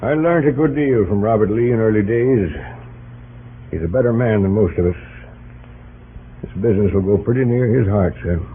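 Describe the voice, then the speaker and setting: An actor playing a loyal, forthright middle-aged army commander in an old-time radio drama, formal, earnest and direct. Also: male voice, fairly low pitch, moderate pace, long pauses, breathy edge. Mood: businesslike. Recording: tinny, noisy old radio recording.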